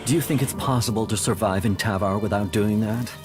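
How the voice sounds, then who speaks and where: A man's voice: on the low side, a little fast, natural voice. A man playing a wary, blunt, pushy outlaw enforcer in a video game. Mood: warning, dismissive. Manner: blunt, profane. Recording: loud music behind.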